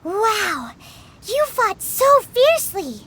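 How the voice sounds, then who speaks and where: A woman voicing a tiny, excitable childlike fairy companion in a video game, with a squeaky, cutesy, exclamatory delivery. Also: slow pace, heavy background noise, exaggerated voice. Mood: impressed. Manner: exclamatory, speaks in a cutesy exaggerated way.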